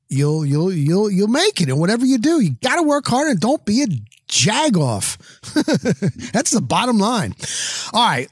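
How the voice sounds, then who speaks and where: A man's voice: high voice, husky, dry recording, clean studio sound. A middle-aged American man from New Jersey hosting a podcast in casual, effusive talk, often repeating phrases for emphasis. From New Jersey, United States. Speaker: podcast host.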